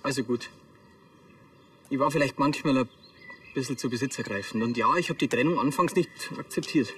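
A man's voice: medium pitch, a little fast, fairly bright tone, far from the mic, lightly acted. An actor playing a defensive jilted man protesting his innocence in a TV crime drama. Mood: earnest, defensive. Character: defensive jilted male suspect pleading innocence. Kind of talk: naturalistic TV drama acting.

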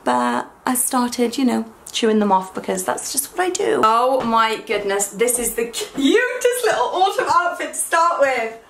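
A young woman with a croaky, hungover voice, chatting excitedly in a casual try-on haul vlog. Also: a little high, a little slow, hardly any pauses. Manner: excitable and gushing. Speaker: fashion vlogger. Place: small bedroom, indoors. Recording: echoey room.

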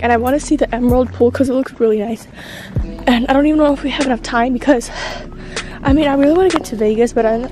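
A young woman from San Francisco vlogging casually on a hike, rambling with lots of fillers, filmed on a handheld phone outdoors. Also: sits high. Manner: chatty, rambling, filler-heavy. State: out of breath. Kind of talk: casual travel vlog. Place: outdoors on a canyon trail. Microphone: handheld phone at arm's length. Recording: music playing under voice.

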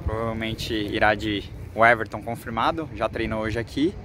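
A Brazilian man in his twenties gives an informal football vlog report to camera, in a halting way with repeated words, speaking into a phone at arm's length outdoors. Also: fairly low pitch, fairly even intonation, fairly bright tone. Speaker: sports reporter for a football fan channel. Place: outdoors on a football training pitch. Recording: outdoor noise with distant vehicles.

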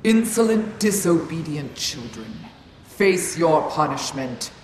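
A woman's voice: low voice, sing-song, slow pace, noisy background, heavily acted. An actress playing a cruel, domineering cosmic goddess mother in a superhero video game, haughty and menacing. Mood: scornful. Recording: echoing voice under loud game music.

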